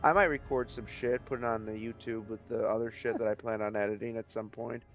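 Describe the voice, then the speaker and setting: Hoarse man hosting a gaming stream. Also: on the low side, fairly even intonation, fairly quick, a bit bright, highs cut off, faint music behind. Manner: loose, rambling, signs off with jokey farewells. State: hoarse, voice cracking. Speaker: stream host. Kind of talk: casual gaming stream chat.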